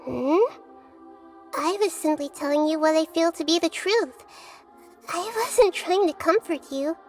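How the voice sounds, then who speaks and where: Wise little girl goddess in a game, high-pitched, gentle, calm, sincere, measured articulate delivery, faint music underneath. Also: exaggerated voice. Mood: reassuring. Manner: measured, earnest, articulate explaining. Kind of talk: video game voice acting.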